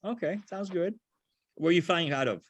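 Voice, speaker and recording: A man's voice: fairly high pitch, moderate pace, no room sound. An older man from Haiti leading a ground school meeting on a video call, talking casually and often restarting his phrases.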